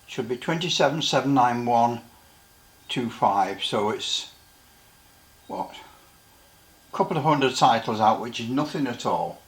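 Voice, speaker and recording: A middle-aged or older man giving a casual hands-on demonstration, rambling a little, halting and often repeating himself. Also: medium pitch, animated. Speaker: radio hobbyist presenter.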